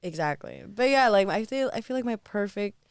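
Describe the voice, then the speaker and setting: A woman in her twenties, a guest on a podcast, chatting casually in a bubbly, animated way. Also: mid-range voice, brisk, clear, resonant tone, no echo. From Southern California. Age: 20s. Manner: bubbly, animated, laughs often.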